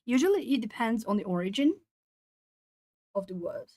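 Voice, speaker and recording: Woman, southern Brazilian Portuguese accent, native Portuguese speaker, casual art-stream chat, reads comments aloud, thoughtful pauses, dry close sound. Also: a little high, very animated, dark tone, no echo. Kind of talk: casual chat while colouring.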